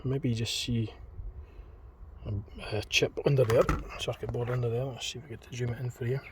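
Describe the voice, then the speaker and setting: A man thinking aloud in an unscripted hands-on demonstration, speaking in a hesitant, tentative, halting way. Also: medium pitch, little pitch movement. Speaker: hobbyist demonstrator in a homemade teardown video. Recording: distant mic, clearly noisy homemade recording.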